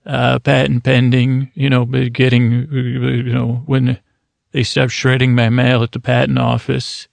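A man hosting a bedtime podcast, talking in a meandering, halting way full of fillers and self-corrections, kept deliberately slow and mild so listeners can drift off. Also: medium pitch, completely flat delivery, vocal fry, dry recording. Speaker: podcast host. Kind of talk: rambling bedtime podcast intro.

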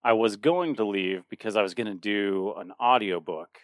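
A man's voice: on the low side, normal speed, no room sound, clean studio sound. A man in his late thirties co-hosting a podcast in a casual chat, rambling with frequent restarts and laughter. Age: 30s. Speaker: podcast co-host.

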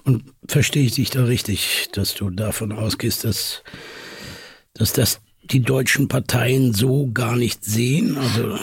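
Man acting really husky guy questioning activists, creaky, sceptical, bit hesitant, natural radio play style. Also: mid-range voice, unhurried. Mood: probing. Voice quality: husky, gravelly. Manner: probing, hesitant.

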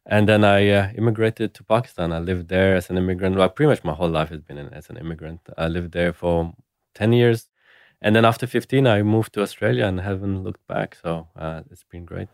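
A man from Afghanistan answering interview questions in a relaxed way, with frequent fillers and trailing ends. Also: deep voice, brisk, creaky, smooth, dry recording, clean studio sound. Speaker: guest, company co-founder.

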